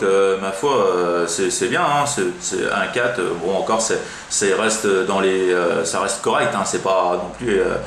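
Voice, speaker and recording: A man from France with a fairly deep voice talks casually as a radio hobbyist. He rambles hesitantly, padding his phrases with little tags. The room echoes around him and a slight noise sits underneath. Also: fairly bright tone. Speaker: CB radio operator. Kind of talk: casual amateur radio chat. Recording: roomy echo, faint background noise.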